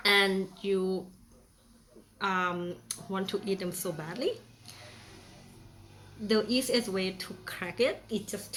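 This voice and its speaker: A woman's voice: mid-range voice, noisy background. A young woman from Thailand with a Thai accent, chatting playfully through a casual how-to demonstration with many fillers. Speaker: vlogger.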